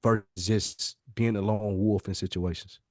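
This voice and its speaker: A man with a slightly low voice speaks as a podcast co-host in a dry, close recording. He sounds earnest and conversational, as in a reflective discussion among friends. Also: steady tone, normal speed, a little mellow, dry recording.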